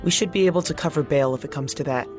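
A woman playing a dry-witted, dependable activist in an audio drama, with a deadpan delivery. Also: low-pitched, fast talker, faint music behind, natural voice. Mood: wry. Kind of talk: naturalistic audio drama acting.

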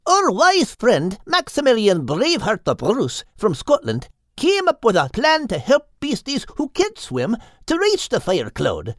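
A woman voicing an earnest small male bird who explains things slowly and patiently. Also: on the high side, huge pitch swings, no echo, studio quality, pitched above the performer's own voice.

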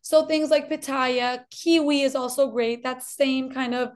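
A woman's voice: high voice, fairly even intonation, measured pace. A woman in her thirties from Colombia with a slight Colombian Spanish accent, explaining things as a dietitian guest in a friendly way. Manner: friendly, explanatory, repeats for emphasis. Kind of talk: explanatory interview talk.

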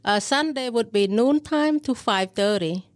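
Woman from Thailand speaking halting English. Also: fairly high pitch, lively intonation, no echo, studio quality. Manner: halting, non-native English phrasing. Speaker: restaurant owner, guest. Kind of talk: interview answers. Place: podcast studio inside a shopping mall.

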